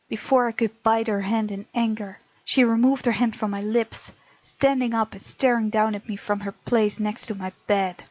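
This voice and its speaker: A woman with a fairly high voice narrates a game as she plays, reading the text dramatically and throwing in sassy reactions. The recording is slightly dull and lo-fi, with soft game music behind her. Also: dry recording. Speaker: gaming channel host.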